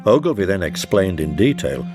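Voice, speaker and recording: A man giving measured, formal first-person narration in the dramatic manner of a period adventure story. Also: low-pitched, sing-song. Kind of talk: dramatic scripted audiobook narration. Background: music under the narration.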